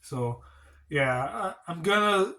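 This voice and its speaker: A man's voice: on the high side, lively intonation, takes it slowly, bright, forceful tone. A man hosting a casual wrestling review vlog, talking in a rambling, hedging way, congested and stuffy from a cold. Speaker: wrestling channel host.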